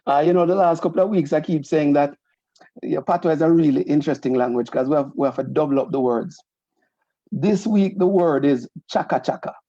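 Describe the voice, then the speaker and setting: Man hosting with a mellow voice. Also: on the high side, no echo, lo-fi sound. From Jamaica. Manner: friendly, explains slowly with many restarts. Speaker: host, association president. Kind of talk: casual community talk show hosting.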